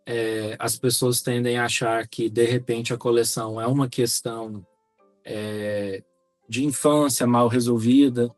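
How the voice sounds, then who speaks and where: A man with a Brazilian accent talking reflectively as a podcast co-host, measured and articulate, feeling for his words. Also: mid-range voice, no room sound. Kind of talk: reflective conversational podcast talk.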